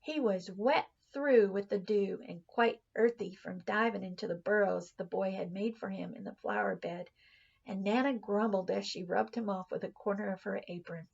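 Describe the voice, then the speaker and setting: A woman reading a children's story aloud like a teacher, expressively, with dramatic pauses and drawn-out words. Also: mid-range voice, creaky, a little mellow, breathy edge, dull audio.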